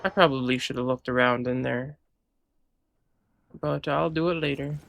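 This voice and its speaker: Low, creaky-voiced woman gamer. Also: steady tone, normal speed, a little hiss or hum. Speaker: video game streamer. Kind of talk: casual gameplay reaction.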